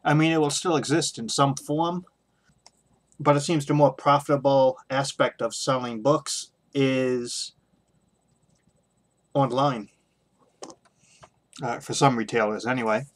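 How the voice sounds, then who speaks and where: A middle-aged man with a medium-pitched voice speaks off the cuff, like a hobby video blogger giving his take. His delivery is halting and hesitant, often pausing as he works out the next phrase. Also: fairly even intonation. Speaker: video blogger on toy collecting. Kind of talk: unscripted opinion monologue.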